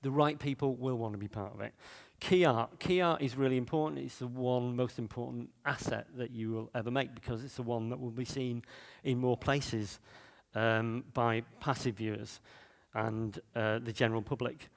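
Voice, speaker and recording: A man from Manchester with a Manchester accent, speaking at a conference in a mid-pitched voice. His delivery is loose and conversational, full of ums, restarts and asides as he gives his talk. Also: fairly even intonation, moderate pace, creaky, long reverb tail. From Manchester, England. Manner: loose, chatty delivery with many fillers and restarts.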